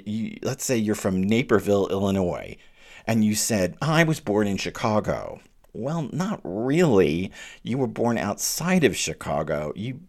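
American male lecturer, deep register with a swooping, expressive delivery, chatty and digressive. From United States. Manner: animated, digressive, with quick asides and restarts; reads quoted verse aloud. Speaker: literature lecturer. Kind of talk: informal lecture on a classic poem.

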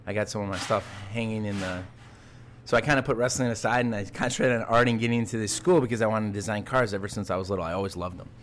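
A man's voice: lowish voice, very fast, slight background noise. A man guesting on a casual podcast, telling his story animatedly and talking with his hands. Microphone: desk microphone.

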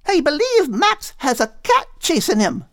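A man's voice: extremely high pitch, huge pitch swings, a little slow, fairly bright tone, dry recording, clean studio sound, voice pushed up, exaggerated voice. A man voicing a sweet, fussy female seagull who exclaims in a fluttery way, in a storybook reading. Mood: concerned.

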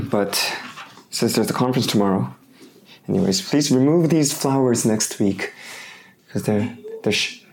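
Man, pastor, hoarse from a cold, mid-pitched, halting sermon delivery full of restarts. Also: a little mellow. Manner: halting, with frequent restarts and fillers.

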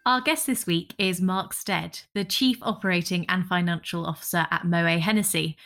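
A woman's voice: on the low side, normal speed, a bit bright. A woman co-hosting a podcast, moving between scripted introductions and conversational remarks. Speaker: podcast co-host.

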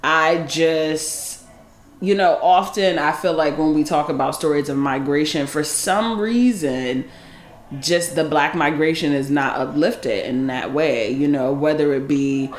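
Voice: medium pitch, a little slow, echoey room, a little hiss or hum. A podcast host chatting casually with a guest, affirming and cheering him on with laughter and the odd swear. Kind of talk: casual interview chat.

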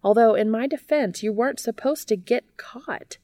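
A woman's voice: fairly high pitch, moderate pace, warm tone, no room sound, studio quality, voice pushed up, natural voice. A woman voicing a chirpy, teasing young woman, a geeky best friend, with bouncy emphasis in an audiobook story.

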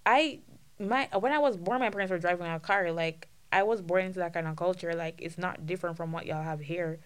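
Young Jamaican woman, low and lively. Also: fairly quick, clean tone. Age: young adult. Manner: animated, laughs and jokes, speeds up when excited. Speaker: student podcast guest. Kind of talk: casual chat.

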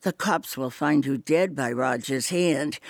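A man's voice: mid-range voice, a little slow, no room sound, natural voice. A man voicing a cruel, scheming older sister who talks in a cold, mocking way. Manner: cold, contemptuous, taunting.

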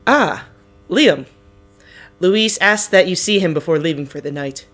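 A woman's voice: on the low side, expressive, measured pace, a bit bright, soft background music. A woman playing a weary, considerate team leader in an audio drama. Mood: matter-of-fact.

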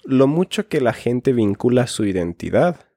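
A man's voice: mid-range voice, big pitch swings, normal speed, warm tone, husky, dry recording, clean studio sound. A man in his twenties from Finland, co-hosting a conversational podcast. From Finland, has lived in Ecuador. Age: 20s. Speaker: podcast co-host.

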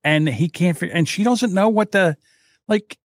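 A man co-hosting a podcast, trading loud, crude jokes in casual banter. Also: high voice, moderate pace, no echo. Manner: loud joking, crude wisecracks. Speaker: podcast co-host.

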